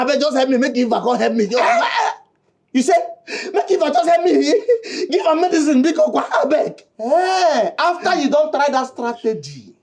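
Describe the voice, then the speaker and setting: A man with a Nigerian Pidgin accent, playing a desperate man who begs for help again and again. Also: very high-pitched. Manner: pleading, repetitive, animated.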